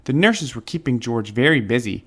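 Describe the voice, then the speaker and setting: Expressive male storybook reader, very lively pitch. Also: normal speed, husky. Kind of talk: expressive children's story narration.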